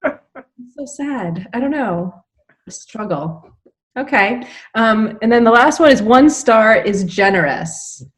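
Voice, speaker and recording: A woman's voice: fairly high pitch, lively intonation, slow pace. A woman reading aloud and chatting informally within a group. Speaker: librarian reading book reviews aloud.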